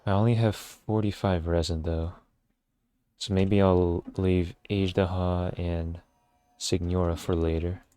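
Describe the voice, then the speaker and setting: A man giving casual, low-key commentary as he plays a game, thinking aloud as he goes. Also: flat delivery, unhurried, dark tone, clean tone, no echo. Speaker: game streamer. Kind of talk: casual solo gameplay commentary. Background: video game music.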